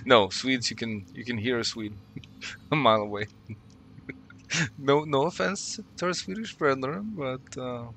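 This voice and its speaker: A man's voice: mid-range voice, a little slow, vocal fry, long pauses, fairly bright tone, a little hiss or hum. A young Norwegian man with a Norwegian accent, chatting casually and laughing with his stream while he plays. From Norway. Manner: chatty, laughs often, talks to chat. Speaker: game streamer. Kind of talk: casual live-stream chat while playing. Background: game sounds.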